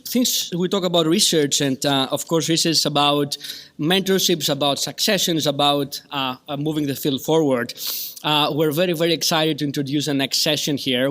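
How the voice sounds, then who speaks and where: A man's voice: medium pitch, fairly bright tone. A man with a Greek accent chairing a conference session, giving a long, effusive introduction full of fillers and restarts. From Greece. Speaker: session chair at a scientific meeting.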